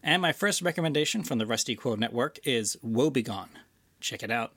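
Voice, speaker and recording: A man's voice: mid-range voice, expressive, fairly quick, fairly bright tone, husky. A man hosting a podcast, giving an informal, enthusiastic announcement to listeners. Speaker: podcast host.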